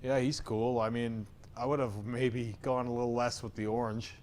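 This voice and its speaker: A man's voice: medium pitch, little pitch movement, normal speed, a little mellow, slight background noise. Frank, soothing-voiced man critiquing tattoos. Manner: frank and opinionated, swears casually. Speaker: tattoo reaction host. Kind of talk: casual critique chat.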